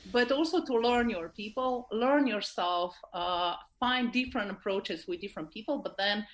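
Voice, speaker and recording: A woman's voice: medium pitch, normal speed, rough recording. A woman from Slovenia with a Slovenian accent, answering in an informal interview style and often checking for agreement. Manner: informal, often seeks agreement with tag questions. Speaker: interview guest, leadership expert.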